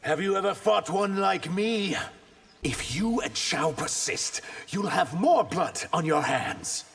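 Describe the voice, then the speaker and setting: A man's voice: high-pitched, expressive, slow, gravelly, fairly bright tone, slightly rough, faint music behind, heavily acted. A man playing an earnest, vengeful reptilian warrior in a video game, speaking with intense, dramatic defiance. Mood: taunting. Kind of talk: video game character dialogue.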